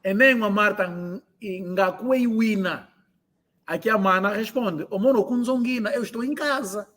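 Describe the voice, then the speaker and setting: High-voiced man teaching language. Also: fairly bright tone. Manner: repeats phrases slowly for learners. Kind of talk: online language lesson, explaining and translating phrases.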